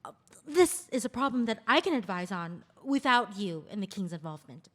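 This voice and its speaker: A woman's voice: medium pitch, very animated, a little fast, fairly bright tone. A woman reading the part of a powerful, devoted royal dancer in a lyrical stage play. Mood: earnest. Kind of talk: play table read with lyrical monologues. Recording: long reverberant hall echo.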